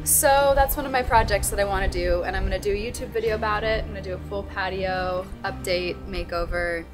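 A woman's voice: medium pitch, fairly quick. A woman in her twenties with an American accent, chatting casually and informally in a giggly way as a vlogger. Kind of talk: casual house tour vlog chat. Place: inside a bright open-plan home. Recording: roomy echo, music underneath.

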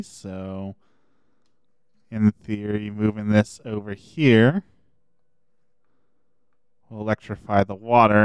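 A man streaming a video game, chatting casually and thinking aloud as he plays, his voice a little hoarse. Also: on the low side, a little mellow, no room sound. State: slightly hoarse. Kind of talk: casual gameplay commentary.

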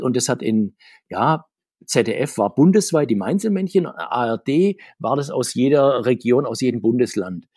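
A middle-aged man with a slightly husky voice answers questions in a loose, rambling way, often breaking off and restarting. His pitch jumps up when he stresses a point. The sound is dry and close, like a video call from an indoor room. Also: a little mellow, no room sound. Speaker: comics author and guest. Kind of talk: conversational interview answers.

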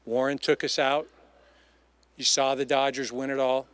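A man's voice: mid-range voice, level tone, moderate pace, clear, resonant tone, no echo. A middle-aged man giving a heartfelt memorial tribute, speaking emphatically and repeating phrases for weight. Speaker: eulogist. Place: outdoors in an empty baseball stadium. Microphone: microphone on a stand.

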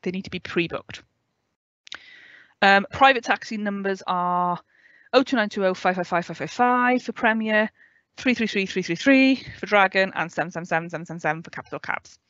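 Welsh woman in her 30s on a headset call, dry dull sound, explains things clearly, keeps saying okay. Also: mid-range voice, fairly quick, a bit bright, no echo. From West Wales. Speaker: student adviser. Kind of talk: online orientation talk with questions. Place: home room with a window.

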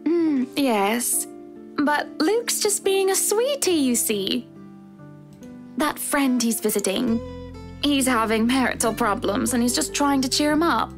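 A woman's voice: high voice, animated, takes it slowly, soft background music, heavily acted. A woman voicing a genteel, bubbly married socialite with a gushing, dainty manner in a visual novel game. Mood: breezy. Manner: gushing, dainty, well-mannered society speech.

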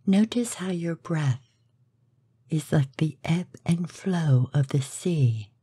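A woman narrating a scripted guided meditation in a soothing, measured, breathy way, with soft delivery and pauses. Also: low-pitched, no room sound.

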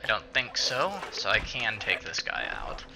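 A man's voice: on the low side, expressive, normal speed, background noise. A man hosting a casual let's play, muttering, swearing and talking to the game's characters. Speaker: gaming video host. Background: game music.

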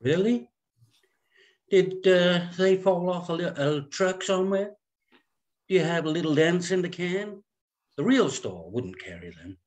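A man's voice: high-pitched, animated, a little mellow. Snooty small-town matron in her fifties, played by a man, condescending and sugary, for a comic screenplay read. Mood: sneering. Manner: condescending, drawn-out genteel delivery. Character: snobbish, fussy fifty-year-old small-town society woman, falsely sweet. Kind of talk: script table read. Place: indoor room at home, on a video call.

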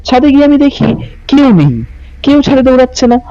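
A man's voice: extremely high pitch, sing-song, fairly quick, warm tone, no echo. A man narrating a horror story as a channel host. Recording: muffled lo-fi audio with music behind. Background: music bed.

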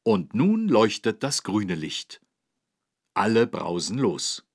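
A man playing a patient, friendly instructor, narrating for children in an encouraging, didactic way with a husky voice. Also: fairly low pitch, very animated, slow pace, no echo, clean studio sound, full character voice. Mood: instructive. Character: friendly, patient adult man teaching children road safety. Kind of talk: scripted children's educational narration.

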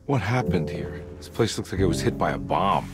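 An actor playing a hard-boiled, world-weary detective in a video game, with a dry, laconic manner. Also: male voice, deep voice, very animated, a little fast. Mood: baffled. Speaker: private detective. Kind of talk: video game cutscene dialogue.

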